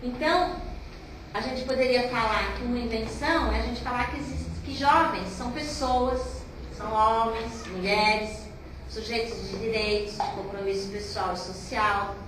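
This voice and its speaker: A woman's voice: on the high side, moderate pace. A woman in a group discussion who makes her points with emphatic, rhythmic repetition. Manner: emphatic, repeats words for rhythm. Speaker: discussion participant. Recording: echoey room, distant mic, noisy.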